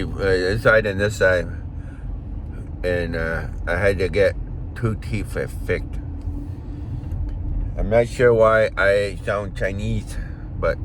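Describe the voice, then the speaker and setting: A man's voice: sits low, a little hiss or hum. A man whose mouth is numbed from dental work, talking with slurred words and laughing at himself. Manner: laughing at his own slurring. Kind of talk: casual video message.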